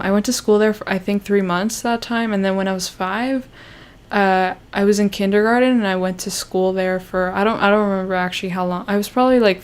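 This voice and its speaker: A woman's voice: mid-range voice, clean tone. A young woman from Canada chatting on a livestream, rambling with many fillers and restarts and sounding self-conscious. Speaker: YouTuber hosting a livestream. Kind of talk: casual livestream chat.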